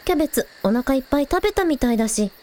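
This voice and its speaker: A woman playing a cheerful, curious young village idol girl, casual and quick to exclaim, in a scripted audio drama. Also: high voice, animated, darkish tone, slight background noise. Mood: puzzled, curious.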